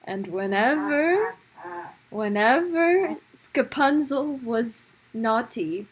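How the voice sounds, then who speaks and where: A woman's voice: high-pitched, lively intonation, drawn-out words, slightly rough, poor audio. A woman improvising comic stories as a storyteller, with a dramatic, sometimes hesitant delivery. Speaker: storyteller of improvised puppet shows.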